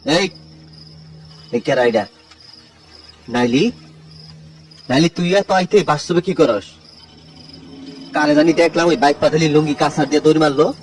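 A man's voice: fairly high pitch, moderate pace, pauses often, natural voice. A man playing a stern, scolding village uncle who guards family honour, in an amateur drama with a Tangail Bengali accent. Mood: suspicious, demanding. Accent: Tangail regional Bengali, Bangladesh. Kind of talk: amateur village love-story dialogue. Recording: distant recording with music behind.

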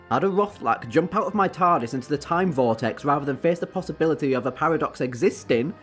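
An eccentric time traveller acted by a woman in a sci-fi audio drama. Her voice is low and swings widely as she gets exasperated and teasing, with music behind her. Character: brisk, eccentric, exasperated time-travelling alien adventurer, female.

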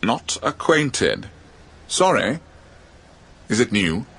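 An actor playing a fussy, oblivious old-fashioned English ghost gentleman in a radio drama, with a British accent and polite chummy manner. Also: on the low side, sing-song, extremely slow, creaky, husky, full character voice. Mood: genial, fussy. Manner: polite, dated upper-class chumminess.

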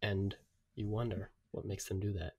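A man with a slightly low voice chats as a podcast co-host. His delivery is informal and comic, and he leans on words for emphasis. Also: flat delivery, moderate pace, creaky, a little mellow, husky. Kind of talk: casual comic chat.

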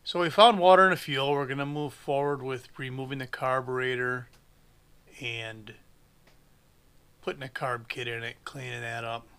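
Man, 40s, repair mechanic, crisp bright voice, dry humor, workshop bench. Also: medium pitch, steady tone, moderate pace. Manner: plain-spoken, dry humor, thinks aloud while working. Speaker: small engine repair shop mechanic. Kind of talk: casual workshop repair narration.

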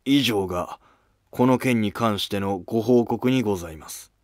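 A man playing a measured, dutiful court minister who reports politely and formally in a history drama. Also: fairly low pitch, dry recording, clean studio sound, lightly acted. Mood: deferential.

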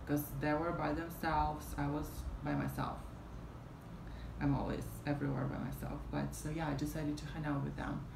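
Low, flat-voiced woman rambling in roomy room. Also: moderate pace, vocal fry. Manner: rambling, many fillers and restarts. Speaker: vlogger. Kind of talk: casual storytime vlog. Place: small room at home, indoors, fan running. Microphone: camera in front at arm's length. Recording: roomy with fan noise behind. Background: fan hum.

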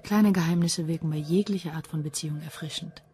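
Low-voiced, dry female detective played by a woman. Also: a little fast, mellow, slight background noise, natural voice. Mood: wry, brisk. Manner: dry, sardonic, unimpressed. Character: cool, quick-witted female police detective. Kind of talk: German radio crime drama acting.

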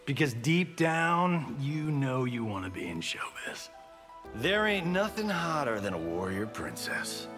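An actor voicing a cocky, flirtatious movie-star martial artist in a video game, swaggering and slangy. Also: male voice, medium pitch, sing-song, a little slow, music in the background. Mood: cocky flirting. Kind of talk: video game fight-intro banter.

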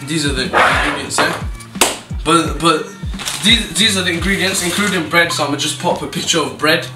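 A man's voice: fairly high pitch, moderate pace, recorded from a distance. A young man in a small home kitchen, hosting a jokey mock cooking video, stumbling over his words and bossing the cameraman around. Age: young adult. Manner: stumbling, playful, bossy with the cameraman. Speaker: vlogger playing a cooking show host. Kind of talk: joking mock cooking show. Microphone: camera mic on a handheld camera. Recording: echoey kitchen from off-mic, music behind.

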